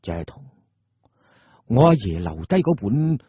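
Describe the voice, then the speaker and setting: A man narrating an audio drama in Cantonese-accented speech, theatrical and suspenseful, with deliberate pauses. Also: medium pitch, very animated, slight background noise. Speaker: storyteller, narrator. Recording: muffled, dull audio.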